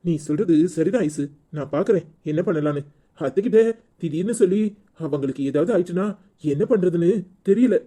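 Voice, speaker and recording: A man's voice: high-pitched, lively intonation, brisk, dark tone. A man playing a troubled, apologetic family man, worried and deferential, in a cartoon village drama. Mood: hesitant.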